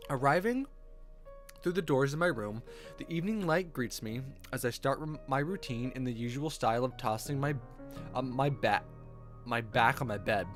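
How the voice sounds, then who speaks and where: A man's voice: mid-range voice, moderate pace. A man reading visual novel text aloud as a streamer, dropping in wry, joking, sweary casual asides. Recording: background music under voice. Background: music with guitar.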